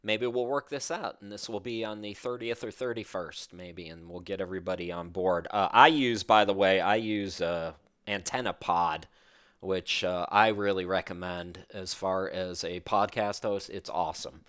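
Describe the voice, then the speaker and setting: A man's voice: sits low, normal speed, fairly bright tone, clear, resonant tone. A man, hosting a casual interview in an informal, enthusiastic way. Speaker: podcast host, interviewer.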